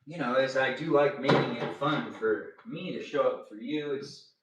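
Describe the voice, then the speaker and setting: Man in his thirties, livestream host, chatty and rambling, mid-pitched, recorded from a distance in an echoey kitchen. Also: normal speed, fairly bright tone, dull audio. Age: 30s. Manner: playful and rambling, sing-song asides. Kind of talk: casual livestream chat. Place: home kitchen area. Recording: roomy, distant camera audio.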